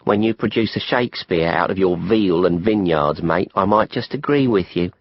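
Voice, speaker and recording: A man acting a sassy young woman from a storybook, with a South London accent. She teases in a cheeky, familiar way, her husky voice moving freely up and down, in slightly dull sound. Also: a little high, expressive, no room sound, voice pushed up. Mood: mocking, companionable. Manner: cheeky, familiar, drawn-out gossipy teasing. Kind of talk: audiobook character acting.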